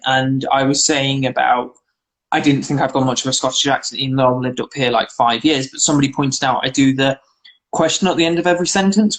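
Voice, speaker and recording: English guest, mid-pitch, chatty rambler, casual stream chat. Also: poor audio. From England. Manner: rambling, anecdotal, lots of fillers and restarts. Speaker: guest on a livestream.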